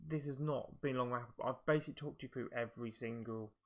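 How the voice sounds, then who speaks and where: A man's voice: mid-range voice, steady tone, quick pace. A man giving casual video game commentary, thinking aloud in a hesitant, rambling way. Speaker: video game show presenter.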